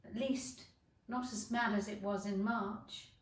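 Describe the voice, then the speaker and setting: A woman's voice: medium pitch, steady tone, slow, close to an everyday voice. A woman voicing a polite, curious young girl from a children's storybook, earnest and questioning. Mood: exasperated, musing. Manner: earnest, well-mannered, questioning. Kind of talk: children's storybook character reading. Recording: echoey room.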